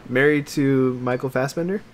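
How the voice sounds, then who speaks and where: A man's voice: medium pitch, moderate pace, slight background noise. A man co-hosting a casual podcast chat, rambling and hedging, with "like" peppered through his sentences. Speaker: podcast co-host. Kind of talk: casual chat about games and films.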